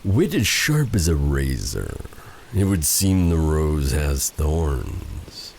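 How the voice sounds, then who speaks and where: A man playing a vengeful, silky dark sorcerer in a fantasy ASMR roleplay, taunting and theatrical, with breathy dips toward a whisper. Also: very deep voice, big pitch swings, slight background noise, exaggerated voice. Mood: smug, teasing. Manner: taunting, theatrical, drawn-out delivery with purred endearments.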